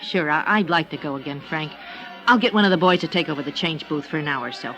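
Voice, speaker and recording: A woman's voice: sits low, fast talker, fairly bright tone, low-quality recording. An actress playing a curt, defensive young woman who works an arcade change booth in a 1950s radio drama, with music under the scene. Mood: guarded. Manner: curt, guarded answers.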